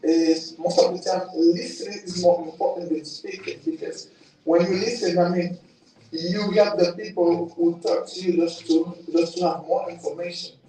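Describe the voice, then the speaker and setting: A man giving a reflective, measured testimonial interview about a program. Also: high voice, fairly even intonation, mellow. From Haiti. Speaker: program participant. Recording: very echoey room, distant mic.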